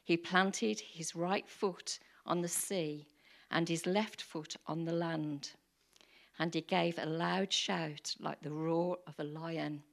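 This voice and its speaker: A woman with a slightly croaky voice reads scripture aloud to the congregation. Her voice sits a little low, and she reads slowly and carefully, giving each line its own measured weight. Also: steady tone. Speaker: congregation member reading scripture.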